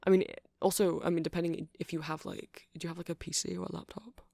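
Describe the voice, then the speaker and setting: A man's voice: a little high, fast, vocal fry, dry recording, studio quality. A man playing a nerdy vampire who keeps a music shop, rambling eagerly and apologetically in a casual ASMR roleplay. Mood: eager, helpful. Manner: hesitant, apologetic, excitable rambling.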